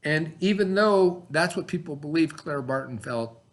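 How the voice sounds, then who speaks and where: A man with a fairly high-pitched voice reads aloud as a schoolteacher would. His phrasing is expressive and instructive, suited to questioning pupils and explaining things simply. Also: normal speed. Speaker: teacher reading aloud to pupils.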